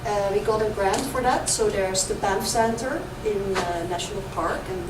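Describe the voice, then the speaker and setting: A woman's voice: medium pitch, normal speed. A woman with a Dutch accent, raised in the Caribbean, giving an artist talk with a hesitant, filler-heavy manner. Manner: hesitant, lots of ums and uhs. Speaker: visiting artist. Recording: echoey room from far off with noise.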